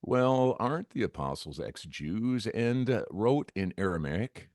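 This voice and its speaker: A man's voice: on the low side, animated, measured pace, darkish tone, no room sound. A man reading the part of a sardonic, skeptical professor who teases, in a comedy table read. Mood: needling. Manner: sardonic, teasing. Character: sardonic skeptical male professor needling religious housemates.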